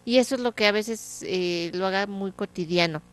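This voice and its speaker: A woman's voice: medium pitch, moderate pace. A woman in her thirties hosting a small studio TV interview on a handheld mic, chatty, often repeating and restarting her phrases. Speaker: TV show host. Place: small TV studio set, indoors.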